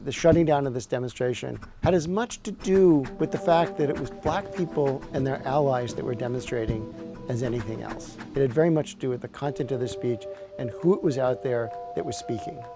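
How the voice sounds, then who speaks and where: A man, a lawyer, speaking firmly and deliberately in a documentary interview. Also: mid-range voice, over music. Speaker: lawyer on the case.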